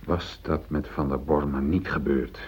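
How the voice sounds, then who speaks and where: An actor playing a troubled middle-aged factory worker, defensive and brooding, in a radio play. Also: male voice, extremely low pitch, steady tone, unhurried, darkish tone, breathy edge, exaggerated voice. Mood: troubled, doubtful. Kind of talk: Dutch radio play acting. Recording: dull, muffled old radio play recording.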